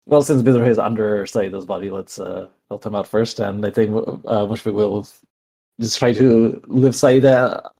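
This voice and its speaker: A man's voice: fairly low pitch, moderate pace, vocal fry, dark tone. A man playing a tabletop roleplaying game, improvising his character's actions as he thinks aloud, hesitantly.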